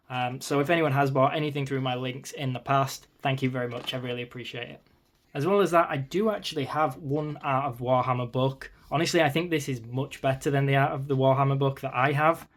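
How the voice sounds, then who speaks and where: Guy talking through an art book, voice a bit worn out, lots of ums, just casual rambling. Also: medium pitch. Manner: chatty, rambling, many ums and asides. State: voice slightly strained, losing it. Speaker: art book reviewer, channel host. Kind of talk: casual flick-through commentary.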